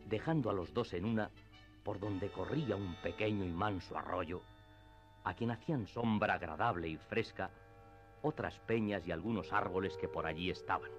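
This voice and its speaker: A male narrator with a fairly low voice reads classic literary prose for a radio drama. The delivery is scripted storytelling, and the sound is slightly dull and muffled. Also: normal speed, over music.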